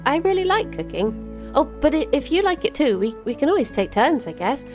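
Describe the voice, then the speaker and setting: A woman's voice: sits high, very animated, moderate pace. A woman playing a cheerful, chatty young witch in an audio drama, rambling a little hesitantly and eager to please. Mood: friendly, hesitant. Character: cheerful, chatty young witch, tall rabbit-eared druid companion. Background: music.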